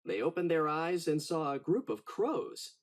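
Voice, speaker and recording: A man narrating a children's storybook in an expressive, dramatic read-aloud style. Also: fairly high pitch, a little slow.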